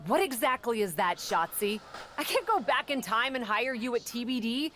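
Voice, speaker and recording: An actress playing an exasperated, confident wrestler in a video game, blunt and confrontational. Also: female voice, on the high side, animated, moderate pace, fairly bright tone, a little hiss or hum. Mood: exasperated, defiant. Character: exasperated, confident female champion-contender wrestler. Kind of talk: video game cutscene acting.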